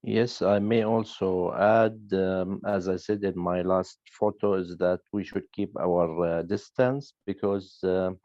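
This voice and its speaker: A man speaking hesitantly on an online panel as a photographer, with many filled pauses. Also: low voice, fairly even intonation, mellow, no room sound. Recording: dry, dull, lo-fi sound.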